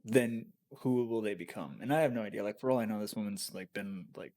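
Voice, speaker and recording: A man's voice: lowish voice, a little fast, a little mellow. A man on a podcast who muses hesitantly, filling his speech with "like" and trailing off. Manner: hesitant, musing, many fillers. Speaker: podcast co-host. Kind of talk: casual podcast chat.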